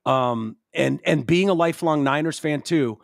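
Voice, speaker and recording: A man's voice: fairly high pitch, normal speed, vocal fry, dry recording, studio quality. A man hosting a podcast, chatting casually in a blunt, profane and emphatic way. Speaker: podcast host.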